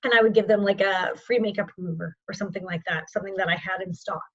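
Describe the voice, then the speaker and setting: A woman training sales representatives in an online session, informal and encouraging, with a voice that is going hoarse. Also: medium pitch, fairly even intonation, fast, muffled recording. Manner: informal and encouraging, checks in with "okay?". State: losing her voice, hoarse.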